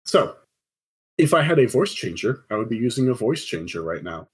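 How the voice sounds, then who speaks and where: A man's voice: medium pitch, sing-song, moderate pace, breathy edge. A man running a tabletop game, narrating casually and informally. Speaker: game master.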